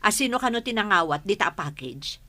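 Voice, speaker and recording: A woman's voice: medium pitch, lively intonation, fairly quick. A woman playing a suspicious, domineering mother and mistress of the house in a radio drama, questioning sharply. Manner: sharp, interrogating.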